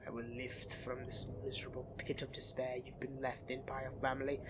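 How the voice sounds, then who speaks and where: Male actor as aristocratic vampire lord, haughty, courtly, theatrical, sharp bright tone, roleplay audio, noticeable background noise. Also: medium pitch, monotone, fairly quick, close to an everyday voice. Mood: scheming, seductive. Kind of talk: dramatic roleplay audio addressed to the listener.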